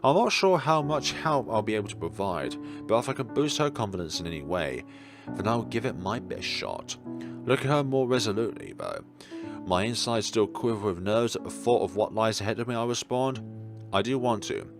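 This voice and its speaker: A man reading a visual novel aloud as a let's player, in expressive first-person narration. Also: on the low side, a little fast. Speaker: video game let's player. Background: guitar music.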